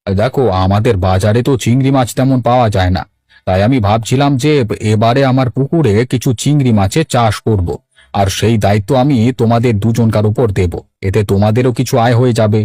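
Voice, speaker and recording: A man voicing a kindly, authoritative village headman in a cartoon, speaking in a measured, patronly way. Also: mid-range voice, warm tone, dry recording, studio quality, natural voice. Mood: businesslike. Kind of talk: animated story voice acting.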